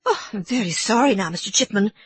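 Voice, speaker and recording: A woman's voice: mid-range voice, animated, quick pace, breathy edge, dry recording, voice pushed up. A woman voicing an anxious elderly housekeeper woman with an Irish brogue, apologetic and deferential. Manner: apologetic, deferential, sir-ing constantly.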